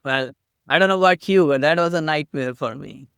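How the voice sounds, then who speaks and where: Animated Indian-accented man, smooth voice. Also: fairly high pitch, brisk, no room sound, clean studio sound. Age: middle-aged. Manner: animated, playful and self-mocking. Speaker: podcast guest. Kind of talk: casual interview talk.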